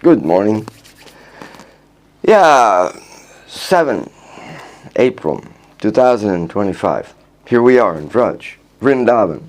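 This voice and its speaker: An older man from the United States, telling personal stories in a halting, rambling way with restarts and chuckles. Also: lowish voice, sing-song. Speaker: vlogger recounting his life. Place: outdoors in Vrindavan.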